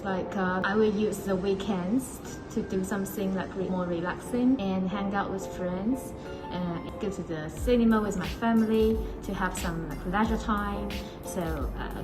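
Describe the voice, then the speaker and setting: Casting note: a woman speaking casually, full of "like" and "so". Roomy recording, with music clearly underneath. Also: medium pitch. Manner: casual, leans on "like" and "so". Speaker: school staff member. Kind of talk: recorded assembly talk. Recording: roomy sound with music behind.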